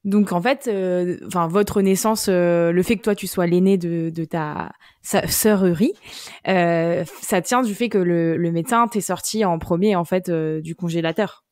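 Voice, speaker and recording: A woman's voice: fairly low pitch, measured pace, darkish tone, no room sound. A woman co-hosting a casual podcast, asking questions hesitantly as she searches for words. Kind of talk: casual chat.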